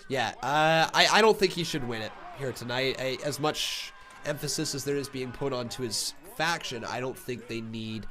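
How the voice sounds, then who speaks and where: Stuffy-nosed male stream host, casual chat mixed with excited ringside calls. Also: mid-range voice, normal speed. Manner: chatty, thinks aloud, bursts into excited play-by-play. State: congested from a cold. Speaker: live stream reaction host. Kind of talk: casual live reaction chat and commentary.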